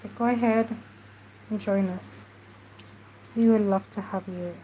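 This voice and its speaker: A woman talks slowly and unhurriedly, a little stuffy in the nose. She chats casually as she works through a craft project, with faint room ambience behind her. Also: mid-range voice, steady tone, warm tone, slight background noise, rough recording. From Jamaica. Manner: chatty, unhurried, talks while working. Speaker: craft journaling host. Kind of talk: casual craft tutorial chat.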